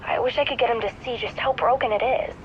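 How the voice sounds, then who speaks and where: A woman's voice: a little high, fast talker, highs cut off, background noise, lightly acted. A woman playing an eager, earnest teenage sidekick in a video game, chatty, casual and confiding. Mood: indignant, determined. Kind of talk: naturalistic video game voice acting.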